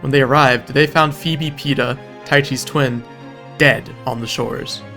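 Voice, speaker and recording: A man's voice: mid-range voice, steady tone, unhurried, vocal fry, sharp tone, soft background music. A man narrating a scripted comedic recap in a wry, informal, mock-epic storytelling way. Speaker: video narrator.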